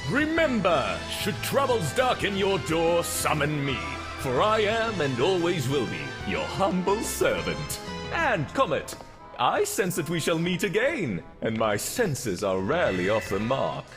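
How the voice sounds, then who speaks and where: A man playing a pompous gentleman detective in a fan-dubbed fantasy game, speaking in grand, florid, theatrical phrases. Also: a little high, wildly swooping pitch, unhurried, over music. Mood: grandiose. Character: pompous, flamboyant gentleman detective, self-styled inspector extraordinaire. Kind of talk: fan-dub video game acting.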